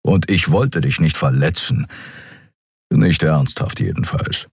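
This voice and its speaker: Man doing a gruff, growling beast-man, curt and deadpan, very low and husky. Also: slow, dry recording, studio quality, heavily acted. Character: gruff, taciturn male Skria creature.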